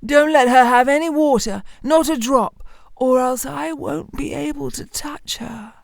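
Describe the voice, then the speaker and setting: Lady doing a bossy angry storybook devil, voice jumps up high, scolding and menacing. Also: unhurried, creaky, dry recording, studio quality. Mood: scolding, furious. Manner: scolding, insistent, commanding.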